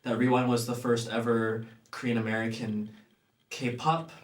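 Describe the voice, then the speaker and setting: A young man from Los Angeles who sings and acts in a pop group, answering earnestly and trailing off softly at the ends of phrases. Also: mid-range voice, flat delivery, a little slow, echoey room. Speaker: singer and actor in a pop group. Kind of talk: casual interview answers.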